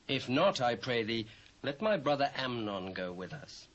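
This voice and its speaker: A British actor playing a scheming, commanding young prince in a dramatised Bible, speaking formal archaic English. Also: male voice, medium pitch, very animated, a little slow, a bit bright, lo-fi sound. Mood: courteous, pressing.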